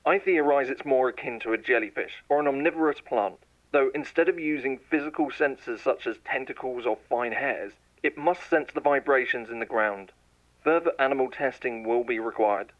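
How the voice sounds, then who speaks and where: A man voices a lab scientist in a horror audio drama, dictating test logs in a measured, clinical tone. His voice sits at an ordinary middle pitch for a man. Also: highs cut off. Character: male lab scientist logging tests, growing frightened. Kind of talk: scripted horror audio narration.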